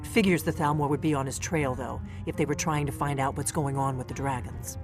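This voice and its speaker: A woman's voice: very deep voice, fairly even intonation, fairly quick. An actress playing a terse, world-weary middle-aged female secret agent in a fantasy video game, wry and guarded. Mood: wry, intent. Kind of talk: video game character dialogue. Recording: game music behind the voice.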